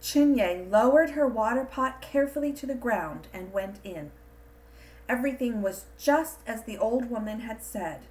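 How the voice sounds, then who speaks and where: A woman reading a scripted children's storybook in an expressive, deliberate storytelling manner. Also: on the high side, low-quality recording. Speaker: storybook reader.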